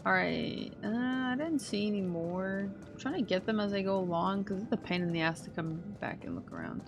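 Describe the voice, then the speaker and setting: A woman's voice: medium pitch, a little fast, dull audio. A woman livestreaming a game in casual, slangy chat, thinking aloud and swearing freely as she reacts. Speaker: game streamer reacting to play. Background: game music.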